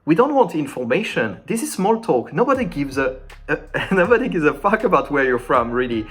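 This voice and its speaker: A man speaking English as a second language, giving a casual, informal French lesson in an animated way. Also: fairly high pitch, brisk, faint music behind. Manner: animated and informal, acting out sample dialogues.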